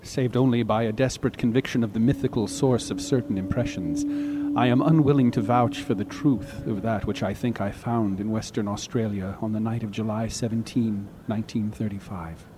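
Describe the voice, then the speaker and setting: An actor reading as a haunted narrator of a horror story, grave, formal and deliberate, pleading a dire warning. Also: male voice, lowish voice, steady tone, noisy background, close to an everyday voice. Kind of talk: dramatic literary reading.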